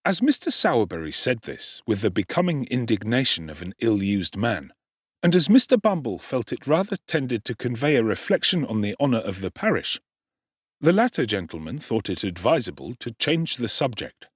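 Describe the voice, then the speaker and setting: A man's voice: medium pitch, sing-song, normal speed, slightly rough, dry recording. Male narrator for a dramatic audiobook, wry and theatrical, close and dry.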